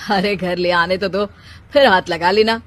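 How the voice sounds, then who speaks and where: A woman's voice: lowish voice, steady tone, moderate pace, close to an everyday voice. A woman voicing a wisecracking young husband in a comic cartoon story, teasing and exasperated, with a sarcastic delivery. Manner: sarcastic, joking.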